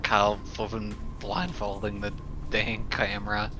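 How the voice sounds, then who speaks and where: A man's voice: on the low side, little pitch movement, measured pace, music in the background. A man at a tabletop game, bantering casually and jokingly with friends, with some swearing. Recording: clearly noisy background, room ambience.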